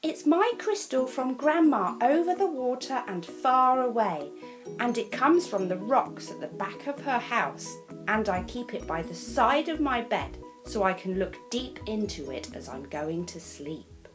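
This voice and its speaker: A woman's voice: fairly high pitch, sing-song, measured pace, music in the background, pitched above the performer's own voice. A woman voicing a shy little girl telling her teacher about her treasured crystal. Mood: earnest. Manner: earnest, gentle explaining.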